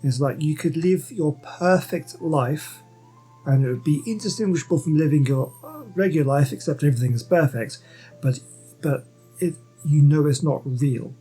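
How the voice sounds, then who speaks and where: A man's voice: on the high side, moderate pace, mellow, husky, rough recording. A man commenting casually over a game, musing aloud and hesitating, often restarting his sentences. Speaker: gameplay host. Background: game music.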